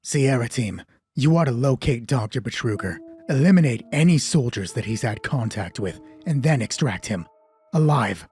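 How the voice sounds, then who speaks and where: A man's voice: medium pitch, animated, normal speed, a little mellow, soft background music, natural voice. A man voicing a cold, authoritative corporate commander who gives a mission briefing in a clipped, formal manner. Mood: cold, stern. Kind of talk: video game style mission briefing.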